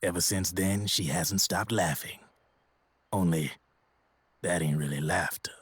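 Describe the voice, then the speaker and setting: An actor playing a streetwise, glib American arms dealer in a video game, with a slangy, theatrical storytelling manner. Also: male voice, sits low, a little slow, breathy edge, dry recording. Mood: grim storytelling. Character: streetwise, glib arms dealer telling grim war stories. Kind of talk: video game cutscene voice acting.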